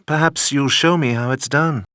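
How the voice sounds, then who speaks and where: A man plays a suave British secret agent in a video game. His mid-pitched voice stays unflappable, dry and wry. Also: normal speed, fairly bright tone, dry recording, lightly acted. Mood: wry, cool.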